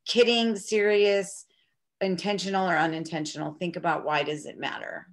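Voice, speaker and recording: A woman's voice: fairly low pitch, level tone, moderate pace. A woman presenting a conference workshop, repeating prompts for the audience.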